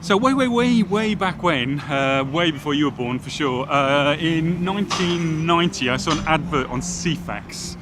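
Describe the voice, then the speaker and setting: A chatty, self-assured man with a Welsh accent that carries a Geordie tinge, his voice sitting a touch high for a man. He is recorded on a phone outdoors, with traffic and city noise clearly audible behind him. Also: moderate pace, few pauses. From Wales. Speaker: celebrity fashion stylist. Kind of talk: casual on-the-street chat. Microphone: phone camera held by the other person.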